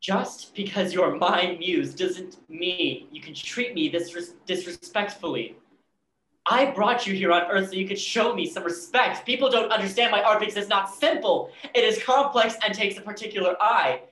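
A man's voice: high-pitched, normal speed, a bit bright. In an echoey room with some noise, a young man acts an egotistical tortured artist. He talks conversationally and defensively, as in a play reading. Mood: controlling, offended. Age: young adult. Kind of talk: virtual staged play reading. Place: bare painted-wall room, video call. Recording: echoey room with background hiss.

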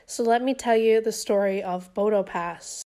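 Young woman youtuber narrating, casual chatty vibe, mellow voice kinda off from being sick. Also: mid-range voice, normal speed. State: voice still a bit off from illness. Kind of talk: scripted commentary narration.

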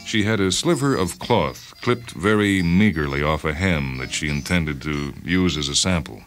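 A man reading a short story as a radio host, in measured, expressive dramatic narration. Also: deep voice. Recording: music under the voice. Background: music.

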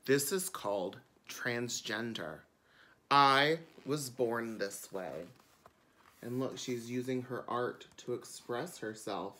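A man's voice: mid-range voice, takes it slowly, pushed and bright. A man, a drag queen, reading a picture book aloud expressively for children, with chatty asides. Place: indoors before a curtain.

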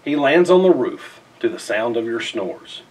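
A middle-aged man reads a rhyming children's picture book aloud in an expressive, theatrical way with emphatic phrasing. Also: mid-range voice, unhurried, far from the mic, slight background noise, poor audio. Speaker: storybook reader. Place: indoors in front of a festive backdrop.

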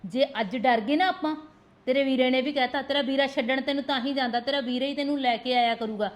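A woman's voice: sits high, fast, natural voice. A woman playing a fierce sister-in-law. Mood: fierce urging. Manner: scolding, insistent, repeats demands for attention. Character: firm protective sister-in-law, blunt and fierce. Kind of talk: village family drama acting.

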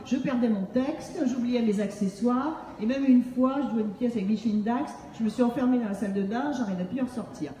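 A woman's voice: fairly high pitch, brisk, hardly any pauses, warm tone. A middle-aged woman presenting her book to an audience in a humorous storytelling style, speaking into a stage microphone. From Montreal, Canada. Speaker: author and acting-based speaking trainer. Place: on stage in a large book fair hall. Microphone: handheld microphone through PA, recorded by distant camera. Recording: echoey hall from far off, faint noise.

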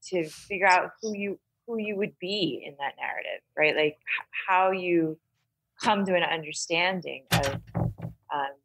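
A woman's voice: on the low side, unhurried, a bit bright, breathy edge, rough recording. A woman talking casually about literature as a podcast panelist, thoughtful and often checking in with "right?".

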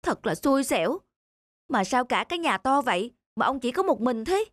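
A woman voicing an anxious, plaintive young man down on his luck in an animated moral story, with music behind. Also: sits high, normal speed, dry recording. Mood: bitter, grumbling.

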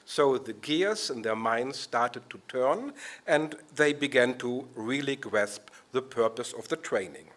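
A man tells a teaching story at a conference with dry humor. He speaks at a podium microphone in a hall, voice at a middling pitch. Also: expressive, measured pace, fairly bright tone. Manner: wry, storytelling. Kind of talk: lightning talk.

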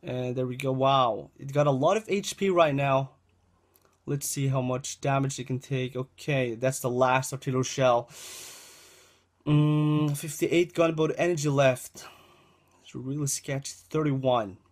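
A man's voice: medium pitch, steady tone, unhurried. A young man giving casual gameplay commentary, repeating himself and talking to viewers as "guys," with a dry throat, recorded indoors on a webcam setup. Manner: rambling, repeats phrases, addresses viewers as guys. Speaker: gaming channel host.

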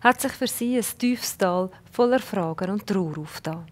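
A woman in her thirties presenting a TV magazine from a studio in a clear, measured way. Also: mid-range voice, music in the background.

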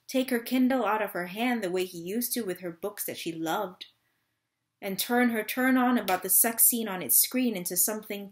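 A woman's voice: lowish voice, lightly acted. A woman in her thirties playing a wistful, restless mother in a dramatic third-person monologue reading. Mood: yearning. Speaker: actor in a staged reading. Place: small room at home.

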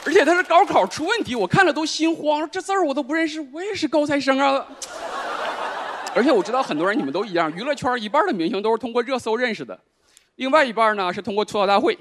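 A high-voiced man rattles through a stand-up set at a fast clip, his pitch leaping up and down. His punchlines land deadpan. Clear noise runs under his stage mic. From Northeast China. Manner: quick, animated deadpan punchlines. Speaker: stand-up comedian.

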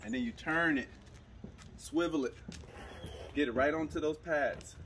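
A man's voice: on the high side, measured pace, background noise. A young man hosting a fishing channel, casually walking viewers through a hands-on demonstration outdoors in a suburban driveway. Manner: relaxed, instructive, addresses viewers directly. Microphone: camera mic, moving toward and away.

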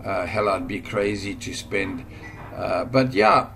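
A man's voice: lowish voice, takes it slowly, vocal fry, noisy background. A man with a South African accent giving an informal screen-recorded tutorial, rambling with fillers and restarts. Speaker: online course instructor. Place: at a computer, voice-over screen recording.

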